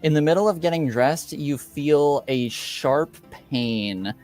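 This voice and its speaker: A man acting as game master, improvising the narration of a tabletop roleplaying game in halting, hesitant fragments. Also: a little high, lively intonation, darkish tone, soft background music. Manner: halting, hesitant, piecing scenes together in fragments.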